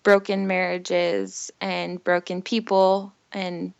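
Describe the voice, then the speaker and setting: Young american lady sharing her story on a podcast, heartfelt, smooth voice, close dry kinda lo-fi sound. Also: fairly low pitch, flat delivery, takes it slowly, no echo. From Costa Rica and Chile until six, then the US. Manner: earnest, heartfelt. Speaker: podcast guest. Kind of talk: personal storytelling, casual conversation.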